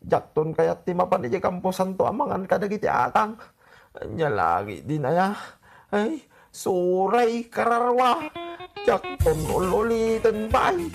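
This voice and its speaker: A woman's voice: mid-range voice, big pitch swings, unhurried, gravelly, faint music behind, heavily acted. A woman acting a grumbling, exclamatory, ailing villager in an Ilocano radio comedy drama. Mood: indignant.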